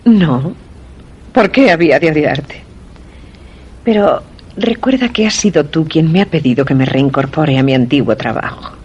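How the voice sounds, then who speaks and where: An actress with a Castilian Spanish accent playing a cool, enigmatic wife in a suspense radio drama, curt and controlled. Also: female voice, deep voice, expressive, moderate pace, darkish tone, breathy edge, muffled recording. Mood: cool, evasive. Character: cool, secretive, enigmatic wife of an ailing man.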